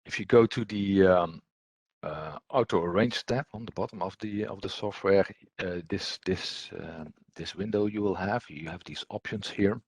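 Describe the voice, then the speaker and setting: Male software instructor, deep and husky, hesitant unscripted walkthrough delivery, dry recording. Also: no echo. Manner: halting, hesitant delivery with repeated words. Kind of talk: unscripted screen-share software tutorial.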